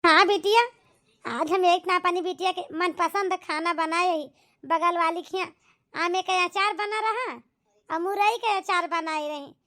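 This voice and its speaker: Woman acting a high-voiced Awadhi village mother. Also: steady tone, a little slow, pushed and bright, exaggerated voice. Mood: coaxing, affectionate. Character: doting, hard-working widowed village mother. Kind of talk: homemade cartoon comedy drama dialogue.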